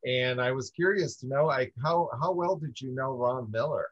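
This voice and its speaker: A man from upstate New York speaks in an earnest, measured way as an interview guest, laughing lightly now and then. His voice sits mid-range for a man. It comes through dull and muffled, with a very dry, close sound. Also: mid-range voice, no echo. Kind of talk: casual video-call interview.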